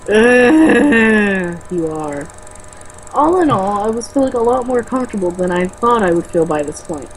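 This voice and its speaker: A woman's voice: medium pitch, expressive, measured pace, a little hiss or hum, rough recording. A woman reading a novel aloud and breaking into exasperated, sarcastic, sweary commentary and casual banter.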